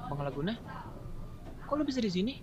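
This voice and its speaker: A woman's voice: mid-range voice, sing-song, brisk, a little mellow, slight background noise, close to an everyday voice. A woman playing a startled, puzzled young adventurer in a fantasy game roleplay, in lo-fi sound.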